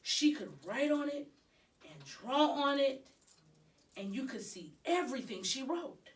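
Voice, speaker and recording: Slow-reading woman, distant mic. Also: sits high, sing-song. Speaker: storybook reader. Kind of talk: expressive read-aloud of children's novel.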